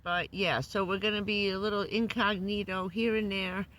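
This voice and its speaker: A hoarse woman who says she is losing her voice, giving a loose, chatty van-life update full of fillers. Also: mid-range voice, steady tone, normal speed, fairly bright tone, low-quality recording. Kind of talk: casual rambling live update. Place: living in a van.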